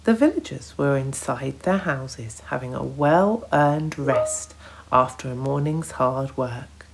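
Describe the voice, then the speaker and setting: A woman narrating a children's storybook in a deliberate, expressive way, as a storyteller to young listeners. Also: a bass voice, gravelly, heavy background noise. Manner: deliberate, expressive, hushed at times.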